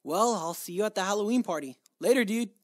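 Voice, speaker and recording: A man's voice: high-pitched, lively intonation, fast, dry recording, studio quality. A man voicing a casual, friendly teenage boy in a fan comic dub. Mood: breezy.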